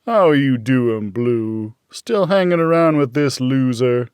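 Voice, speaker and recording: Man voicing a big, kindly old veteran, animated. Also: slow pace, clear, resonant tone, no echo, studio quality. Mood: playful, affectionate. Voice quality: deep voice. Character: big old bearded veteran, gruffly kind and teasing. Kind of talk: audiobook character dialogue.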